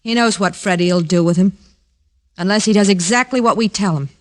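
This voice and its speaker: A woman's voice: mid-range voice, brisk, natural voice. Firm, scheming woman played by an actress. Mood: cold, determined. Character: cool, scheming woman desperate to save her brother. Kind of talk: old-time radio drama acting.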